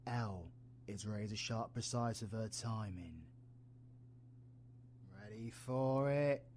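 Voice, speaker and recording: A British man playing a roguish, protective, gruff yet tender supervillain in an audio roleplay, husky and colloquial. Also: fairly low pitch, slow, a little mellow, a little hiss or hum. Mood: urgent, commanding. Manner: sweary, teasing, colloquial. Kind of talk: audio roleplay, villain ASMR.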